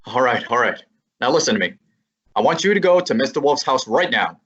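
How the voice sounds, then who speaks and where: A brisk, wry hard-boiled private eye, voiced by a young man. The voice is husky and sits at mid pitch. The audio is muffled and lo-fi. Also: big pitch swings, fairly bright tone. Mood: urgent. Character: wisecracking young male private eye narrator. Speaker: high school drama student. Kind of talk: amateur radio play narration and acting. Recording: muffled lo-fi recording.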